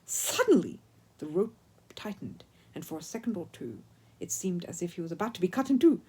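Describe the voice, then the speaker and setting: A woman reading a children's story aloud as an expressive narrator. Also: lowish voice, brisk, husky. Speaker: storyteller, audiobook reader.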